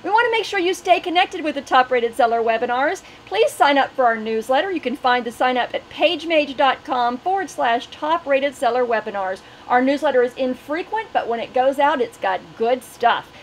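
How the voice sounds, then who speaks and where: Middle aged lady webinar host, pretty high voice, doing announcements, slight background noise. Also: lively intonation, normal speed, hardly any pauses. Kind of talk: online webinar hosting, announcements and housekeeping.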